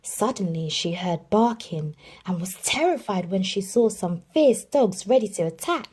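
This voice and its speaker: Husky, lively woman reading a children's story. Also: mid-range voice. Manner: expressive storytelling with dramatic pauses. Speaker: narrator. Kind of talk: reading a children's picture book aloud.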